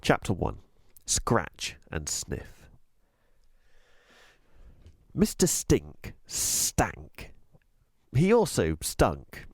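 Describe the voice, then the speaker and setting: A man reading a children's storybook aloud as a teacher would, with expressive, deliberate, emphatic delivery. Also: low voice, no echo, studio quality. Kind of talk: scripted storybook narration.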